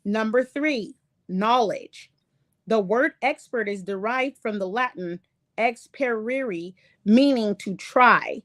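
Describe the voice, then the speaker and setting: A woman talks through a lesson from her slides, slow and unhurried, stopping often with ums and fresh starts. English is not her first language, and her voice sits at an ordinary middle pitch. The sound is dry and close, with no room around it. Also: a bit bright, no room sound. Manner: halting, many ums and restarts. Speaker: online show host. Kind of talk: casual lecture reading from slides.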